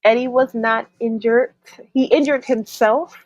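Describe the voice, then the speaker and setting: A woman's voice: fairly high pitch, fairly even intonation, unhurried, a little mellow, dry recording, rough recording. A woman from New York co-hosting a casual wrestling podcast, sounding stuffy from a cold and talking with frequent ums and restarts. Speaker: co-host. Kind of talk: casual chat.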